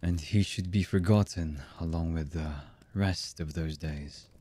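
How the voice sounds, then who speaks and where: A voice actor playing a cold, embittered villain man in an ASMR roleplay, husky and menacing, with halting delivery that often drops to a murmur. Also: steady tone, warm tone. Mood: cold menace. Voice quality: husky, low.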